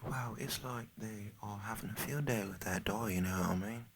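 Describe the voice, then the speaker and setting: An American man putting on a Cockney British accent for a rambling, soft-spoken, partly whispered ASMR chat that repeats and trails off. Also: fairly low pitch, normal speed, noisy background. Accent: put-on Cockney British. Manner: meandering, repetitive, often trailing off. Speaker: ASMR video creator.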